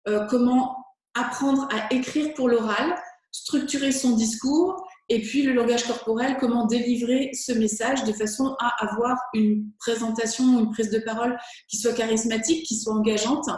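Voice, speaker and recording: A woman's voice: fairly high pitch, fairly even intonation, normal speed, a little mellow. A French woman working as a speaking coach, answering interview questions with enthusiasm and occasional fillers. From France. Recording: very echoey room.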